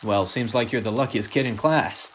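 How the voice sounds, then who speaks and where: A man's voice: mid-range voice, normal speed, a bit bright, slight background noise, natural voice. A man playing an earnest superhero and loving father in a radio play, with music under the voice. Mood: cheerful, homely.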